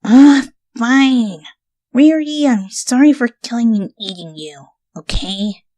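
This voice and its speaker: A woman's voice: fairly high pitch, slow pace, mellow, no echo, voice pushed up. A woman voicing a brash, lazy, unrepentant young female pony in a story reading, casual and blunt. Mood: blunt, grudging.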